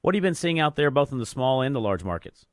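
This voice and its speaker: A man hosts a business talk radio show and talks quickly in a casual, conversational way. He often restarts and repeats words as he goes. His voice is dry and close, with no room sound. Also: medium pitch, very animated, no echo. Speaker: guest radio host.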